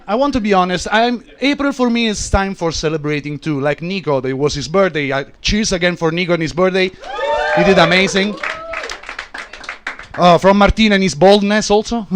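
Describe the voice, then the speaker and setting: A man in his thirties with a foreign accent, performing stand-up and working the crowd loudly. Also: high-pitched, background noise. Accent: non-native English. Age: 30s. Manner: loud, hyped crowd work. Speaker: stand-up comic. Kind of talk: stand-up set.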